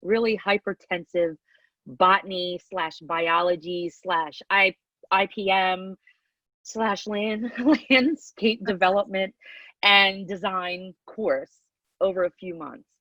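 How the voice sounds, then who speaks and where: A woman's voice: mid-range voice, steady tone, slow, a bit bright, no echo, lo-fi sound. A woman in her fifties from New Jersey with a Southern New Jersey accent, giving a chatty talk over a video call and laughing often. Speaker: guest speaker. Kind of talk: casual talk, online presentation.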